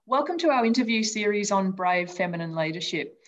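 A woman's voice: mid-range voice, expressive, a little fast. A woman hosting a leadership podcast, reading her intro and asking earnest, enthusiastic questions. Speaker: podcast host and interviewer. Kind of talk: scripted intro then interview questions. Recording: dull, lo-fi sound.

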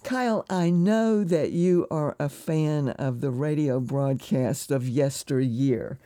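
A woman interviewing guests on a public radio program, friendly and measured. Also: low voice, mellow.

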